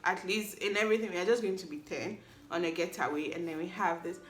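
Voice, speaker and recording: A woman's voice: lowish voice, quick pace, slight background noise. A woman, hosting a solo podcast recap in a playful, chatty way that teases the listener. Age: 20s. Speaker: podcast host. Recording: echoey room.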